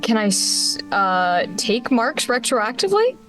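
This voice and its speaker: A woman with a fairly high voice plays in a tabletop roleplaying game. She moves between casual table talk and improvised character lines while soft music plays behind her. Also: big pitch swings, a little slow. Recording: background music under the voice.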